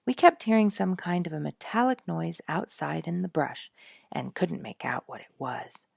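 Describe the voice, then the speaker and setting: A woman's voice: low-pitched, sing-song, normal speed, creaky, darkish tone, husky, no echo, clean studio sound, full character voice. Woman acting a rueful, theatrical dad. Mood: earnest recounting. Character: rueful, dramatic father telling his sorry tale.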